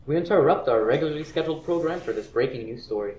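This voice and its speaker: A man's voice: on the high side, animated, fast, a little mellow, muffled recording, a little hiss or hum, close to an everyday voice. A man playing a dramatic radio announcer, reading scripted show links and bulletins. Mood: formal, announcing. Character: dramatic male announcer of radio mystery and news. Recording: echoey room, distant mic.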